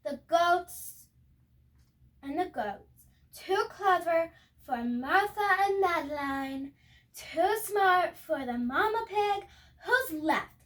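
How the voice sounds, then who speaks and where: A tiny, boastful gingerbread baby from a storybook, played by a young girl. The voice is very high and swooping, chanting taunts in a cheeky sing-song. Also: animated, takes it slowly, a bit bright, distant mic, heavily acted. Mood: boastful, taunting.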